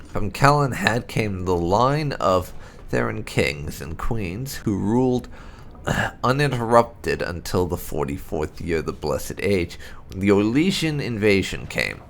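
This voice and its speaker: A man with a low voice narrates fantasy lore like a storybook, his pitch moving in lively swings. He reads deliberately and leans into dramatic emphasis, with a gravelly fry in his tone. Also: slight background noise. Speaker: host of a game playthrough channel reading lore entries aloud. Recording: music behind the voice.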